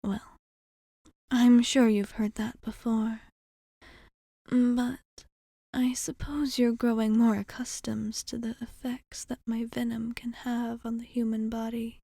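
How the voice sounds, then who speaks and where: A woman voicing a courteous, teasing vampire bartender in an ASMR roleplay, with a soft, breathy half-whisper and measured, formal phrasing. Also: a little high, flat delivery, no room sound, studio quality, heavily acted. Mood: tender, grateful. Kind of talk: ASMR roleplay, intimate monologue.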